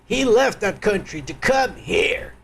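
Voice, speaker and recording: High, gravelly man ranting. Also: animated, a little slow, a little hiss or hum. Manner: angry, crude ranting. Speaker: live stream host. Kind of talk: profane political commentary.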